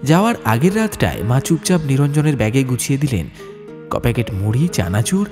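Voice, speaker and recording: A man narrating a scripted horror story in a literary, expressive, dramatic way. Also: mid-range voice, brisk. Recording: music under the voice. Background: background music.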